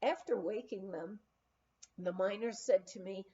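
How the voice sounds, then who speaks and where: A woman reading a memoir aloud in an expressive storytelling style. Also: medium pitch, unhurried, highs cut off. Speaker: audiobook reader.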